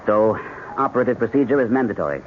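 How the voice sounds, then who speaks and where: An actor playing a composed surgeon who lectures clinically and with measured authority in a 1970s radio drama. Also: male voice, on the low side, animated, close to an everyday voice. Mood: brisk, composed. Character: composed male surgeon lecturing while operating. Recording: noisy, dull old radio broadcast.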